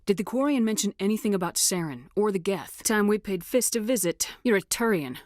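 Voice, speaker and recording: A woman's voice: medium pitch, expressive, fairly quick, a little mellow, dry recording, clean studio sound, close to an everyday voice. An actress playing a tough, commanding female military officer in a science fiction video game, speaking in a firm, clipped, no-nonsense way. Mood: firm, guarded. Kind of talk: video game dialogue lines.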